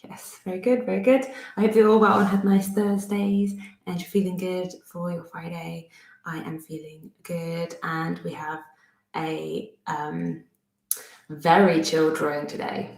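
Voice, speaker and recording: Slow, gravelly Bristolian woman teaching art. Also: fairly low pitch, little pitch movement, a little mellow, echoey room. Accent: Bristolian English. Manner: chatty, self-deprecating, thinks aloud while drawing. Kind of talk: friendly step-by-step drawing lesson. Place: home studio desk, indoors.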